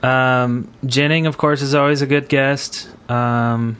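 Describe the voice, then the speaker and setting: A man hosts a podcast in a relaxed, conversational style. His mid-range voice comes out haltingly, feeling its way through each thought. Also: medium pitch, clean tone. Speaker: podcast host. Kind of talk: casual panel chat.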